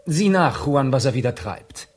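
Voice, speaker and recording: An actor playing an authoritative, impatient lord in a radio drama, curt and husky. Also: male voice, mid-range voice, expressive, normal speed, slight background noise, lightly acted. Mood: commanding. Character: commanding, impatient English lord posing as sage. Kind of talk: German radio drama acting.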